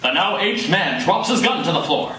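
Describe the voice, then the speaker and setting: A man's voice: a little high, expressive, moderate pace. A man narrating dramatically from a script in the style of a radio serial, at a live performance. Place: live coffee house performance. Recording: echoey live coffee house, distant, noisy.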